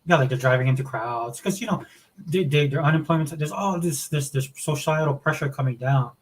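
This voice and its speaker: Fast-talking opinionated male co-host. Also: a little high, breathy edge. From Hawaii, raised in the US South. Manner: rambling, opinionated, many restarts. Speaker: co-host of a gaming podcast. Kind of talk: casual livestream chat.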